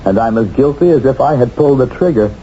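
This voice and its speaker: A man's voice: mid-range voice, lively intonation, quick pace, dark tone, close to an everyday voice. A man playing a guilt-ridden, anguished professor. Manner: anguished, troubled. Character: guilt-ridden former police colonel turned gentle professor. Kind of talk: 1970s radio drama acting. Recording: muffled old radio broadcast, faint hiss.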